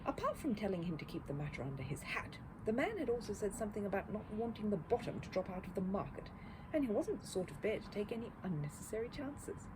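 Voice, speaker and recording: A woman reading a children's story aloud as an expressive narrator. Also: medium pitch, fast. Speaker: storybook reader. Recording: clear background noise.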